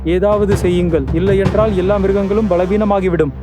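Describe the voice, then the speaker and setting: A man's voice: high voice, fairly even intonation, a little fast, over music, close to an everyday voice. A man voicing a worried forest animal with formal, bookish speech in a cartoon fable. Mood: worried, urgent.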